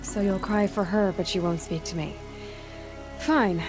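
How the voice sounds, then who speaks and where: An actress playing a defiant, wry young outcast huntress in a video game, speaking in a dry, sardonic way. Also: female voice, medium pitch, unhurried, over music, close to an everyday voice. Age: young adult. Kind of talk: video game dialogue acting.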